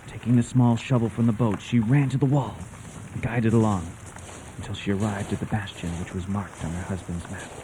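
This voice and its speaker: An actor playing a grave storyteller, narrating a suspense tale for radio drama in a theatrical, deliberate manner. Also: male voice, lowish voice, fairly even intonation, background noise, lightly acted. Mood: tense, dramatic. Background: music.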